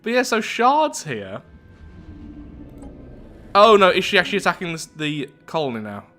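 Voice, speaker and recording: A man hosting a video game playthrough, talking through it in an animated, chatty way and thinking aloud. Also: high-pitched, moderate pace, faint music behind. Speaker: YouTube gaming host. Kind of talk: game playthrough commentary, reading event text aloud.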